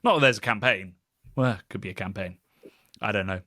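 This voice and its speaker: A man's voice: lowish voice, a little fast, pushed and bright, dry recording, clean studio sound. A British man hosting a casual podcast, musing and rambling in a wry way. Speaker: podcast host, beer YouTuber.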